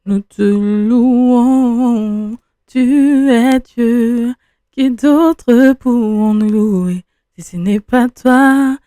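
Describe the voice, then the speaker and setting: A woman singing a slow worship song. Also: on the high side, steady tone, warm tone, no room sound, soft background music.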